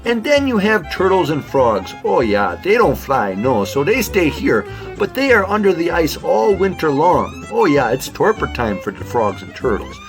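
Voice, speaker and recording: Guy as a chatty folksy beaver, pitch jumping all over, kids audio play with music. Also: huge pitch swings, moderate pace, hardly any pauses. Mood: folksy, friendly. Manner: folksy, repeats "oh yeah" and "hey". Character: friendly, folksy beaver from up north. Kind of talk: children's audio drama.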